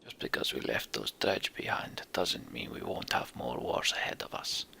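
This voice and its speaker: A man voicing a weary, wary giant warrior in a fantasy game, with a gruff, grave manner. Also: normal speed, sharp tone, whispered, close to an everyday voice. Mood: grave warning.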